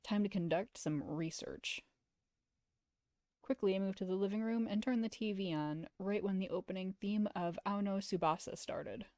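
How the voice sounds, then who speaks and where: A woman streamer reading a visual novel aloud as expressive first-person story narration. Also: mid-range voice, no room sound, clean studio sound.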